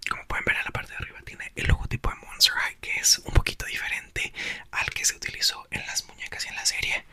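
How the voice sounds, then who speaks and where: A young man, whispering softly into a close mic as he chats about a book for ASMR. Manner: soft whisper throughout. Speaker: ASMR creator. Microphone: small mic clipped to a doll's hair.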